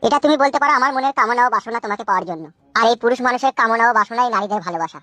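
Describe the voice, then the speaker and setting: A man's voice: very high voice, very fast, pushed and bright, no room sound. A man voicing a coaxing, coarse new husband in a comic cartoon, theatrical and colloquial.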